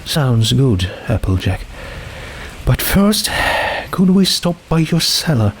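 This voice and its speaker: A man's voice: medium pitch, big pitch swings, slow pace, a little hiss or hum, voice pushed up, rough recording. A man voicing a sad teenage girl in a storybook reading, hesitant and forcing a casual tone. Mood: forced cheer. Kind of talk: storybook character voice in fan fiction reading.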